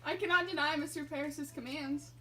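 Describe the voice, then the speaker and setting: A brisk colonial jail marshal played by a woman in a puppet play, dutiful toward those above him. The voice is clearly high-pitched. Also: steady tone, pushed and bright, low-quality recording. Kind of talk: amateur puppet show acting of a stage play.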